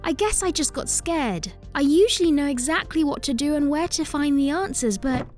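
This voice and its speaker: A high, girlish-pitched robot assistant, voiced by a woman, with faint music underneath. She is friendly, eager and polite, a helpful household machine in a children's audio drama. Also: normal speed, a little mellow, lightly acted. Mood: sheepish.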